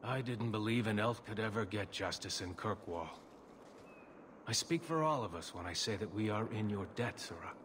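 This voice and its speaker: A man's voice: on the low side, normal speed, noisy background, natural voice. An actor playing a grateful, anxious elf father in a video game, speaking with earnest emotion. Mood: solemn gratitude. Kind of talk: video game cutscene acting.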